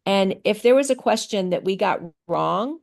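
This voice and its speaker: A middle-aged woman answering interview questions about teaching on a video call, speaking thoughtfully with many pauses and fillers. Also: mid-range voice, expressive, creaky. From grew up in Thailand.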